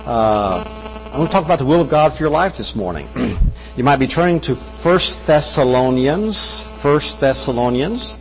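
A man's voice: mid-range voice, animated, normal speed, long reverb tail, faint music behind, poor audio. An older man with a Kentucky accent, raised in Brazil, preaching as a guest missionary in a church on a wireless mic, folksy with joking asides. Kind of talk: sermon. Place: church service.

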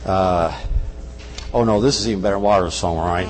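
Folksy older Kentucky-accented preacher. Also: male voice, deep voice, fairly quick, in a large hall. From Brazil. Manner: folksy, joking asides. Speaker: guest missionary preacher. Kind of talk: sermon. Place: church service. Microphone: wireless mic.

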